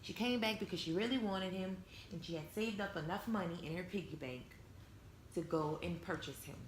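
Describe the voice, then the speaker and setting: A woman reading a storybook aloud to young children, expressively, pausing to retell and explain it in simple words. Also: fairly low pitch. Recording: echoey room with some background noise.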